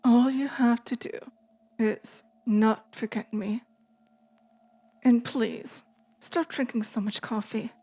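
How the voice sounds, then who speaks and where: A woman's voice: fairly high pitch, fairly even intonation. A woman playing a lonely, clingy demon in an intimate ASMR roleplay, speaking tenderly and hesitantly. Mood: clingy, sweet. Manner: slow, hesitant, tender, softly pleading. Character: lonely, clingy female sleep paralysis demon, wistful and possessive.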